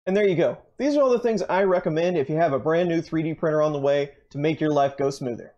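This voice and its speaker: A young man hosting a channel, briskly running through a list of tools in a scripted, upbeat way with dry jokes, recorded in a basement workshop. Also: fairly high pitch, darkish tone, highs cut off. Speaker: channel host. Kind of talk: scripted tool rundown.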